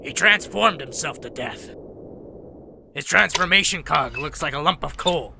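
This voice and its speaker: A man voicing a grumpy, aging robot medic in a motion comic, blunt, gruff and world-weary. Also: a little high, expressive, measured pace, noisy background. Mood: exasperated. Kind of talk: animated motion-comic dialogue.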